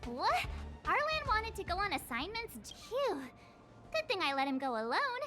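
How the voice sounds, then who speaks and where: A woman playing a perky, easygoing teenage girl adventurer in a video game, expressive and full of exclamations. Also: very high-pitched, moderate pace, soft background music, exaggerated voice. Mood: surprised, relieved.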